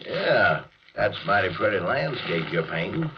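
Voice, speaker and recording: An American actor playing a disgruntled small-town police chief in a 1950s radio drama, speaking in a folksy, wry way. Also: male voice, lowish voice, sing-song, a little slow, highs cut off, background noise. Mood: folksy, official. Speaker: actor narrating in character. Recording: band-limited old 1950s radio recording.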